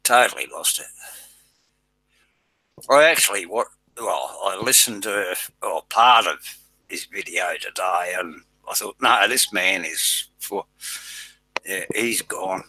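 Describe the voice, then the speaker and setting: Male host, forceful, blunt, mocking; dry close sound. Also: sits low, slow, pauses often, slightly rough, no room sound, rough recording. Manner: blunt, mocking, swears freely. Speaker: channel host. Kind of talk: casual chat on a live show.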